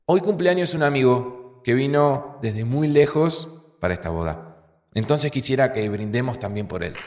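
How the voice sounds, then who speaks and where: An actor playing a sentimental groom making a toast in an audio drama, speaking with an Argentine accent in measured, pausing phrases. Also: male voice, mid-range voice, animated, darkish tone, a little hiss or hum, lightly acted. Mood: celebratory. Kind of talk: audio fiction acting, wedding speech. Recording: long hall reverb. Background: music with plucked guitar.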